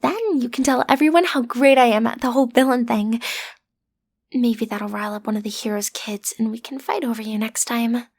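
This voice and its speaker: High-voiced cute teenage villain girl, acted by a woman. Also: a little fast, darkish tone. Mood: smug, casual. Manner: theatrical, sassy, hesitant when breaking character. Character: cute teenage girl, amateur supervillain-in-training, playfully menacing but awkward. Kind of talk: roleplay audio drama, addressed to the listener.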